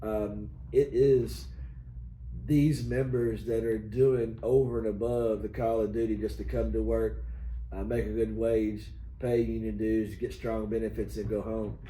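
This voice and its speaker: Hoarse middle-aged man, folksy union host, very dark tone. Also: fairly low pitch, flat delivery, a little slow. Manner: folksy, rambling with many fillers. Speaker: union local president, host. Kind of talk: casual video chat. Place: union hall. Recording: echoey room, faint noise.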